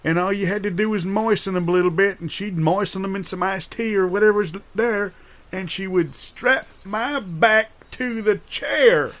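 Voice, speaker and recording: A man's voice: sits high, moderate pace, a bit bright. A man playing an ancient, rambling, bossy grandfather with folksy old-timer talk in improvised audio comedy. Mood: folksy reminiscing.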